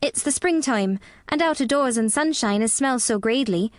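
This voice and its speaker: A woman's voice: on the high side, expressive, normal speed, no room sound, studio quality, voice pushed up, natural voice. A woman voicing a spirited young girl who puts on a Yorkshire accent. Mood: playful. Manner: proud, playful put-on dialect.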